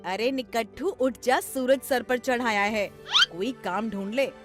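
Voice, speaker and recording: A woman's voice: a little high, unhurried, over loud music, lightly acted. A woman voicing an exasperated, scolding mother in a children's story, nagging.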